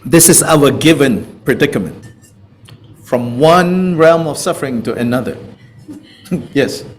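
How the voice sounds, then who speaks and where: Malaysian guy teaching a class, playful and chatty, really up and down pitch, unhurried, bit of background noise. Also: lively intonation. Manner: playful, chuckling, conversational, with repeated checks like "you know". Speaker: Buddhist teacher. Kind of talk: informal spoken teaching with questions from students.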